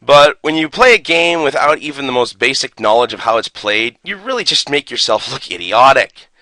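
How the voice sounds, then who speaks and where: A man's voice: mid-range voice, animated, moderate pace, pushed and bright, no echo, low-quality recording. A man speaking as a gaming YouTuber in an opinionated, sarcastic and exasperated manner with strong emphasis. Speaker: video game YouTuber. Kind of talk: opinionated rant and booklet reading.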